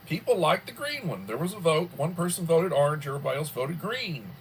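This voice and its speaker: A man in his early sixties hosting a casual livestream from home, rambling and filling pauses often. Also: fairly high pitch, sing-song, slight background noise, low-quality recording. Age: 60s. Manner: rambling, with many fillers. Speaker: livestream host.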